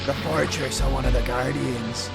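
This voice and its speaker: A man playing a brash, foul-mouthed axe warrior in a fantasy audio drama, rough and gravelly. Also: medium pitch, steady tone, moderate pace. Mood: grim mockery. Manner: rough, taunting, blustering. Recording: loud music bed underneath.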